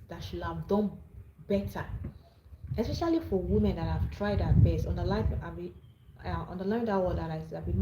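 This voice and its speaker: A woman's voice: mid-range voice, warm tone, echoey room, a little hiss or hum, poor audio. A Nigerian woman hosting a live-streamed advice talk, speaking earnestly and often repeating and restarting her words. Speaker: livestream host.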